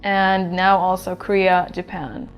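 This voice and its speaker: A woman in her twenties from Sweden, an English teacher answering interview questions in a relaxed, chatty way. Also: on the low side, a little slow, muffled recording, faint music behind. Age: 20s. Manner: relaxed, chatty, thinks aloud with fillers.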